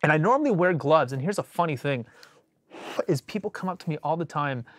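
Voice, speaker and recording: A man hosting a casual livestream as a bassist, rambling with lots of fillers and restarts, his voice a little off after a cold. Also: animated, fairly quick, no echo. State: recovering from a cold.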